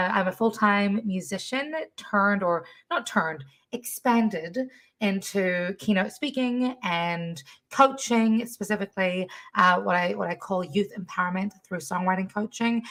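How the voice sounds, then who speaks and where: A woman from New Zealand with a New Zealand accent, a musician chatting as a podcast guest in an animated, laughing way. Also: on the low side. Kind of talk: casual interview chat.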